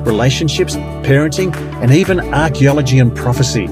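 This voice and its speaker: An Australian man reads a friendly radio promotion in a mid-range voice. Clear guitar music plays behind him. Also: mid-range voice, fairly quick.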